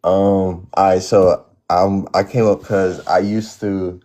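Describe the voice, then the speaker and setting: A man's voice: low voice, little pitch movement, slow pace, dark tone. A man in his twenties calling in to a livestream, laid-back and slangy, cursing casually. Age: 20s. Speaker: caller. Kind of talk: casual chat.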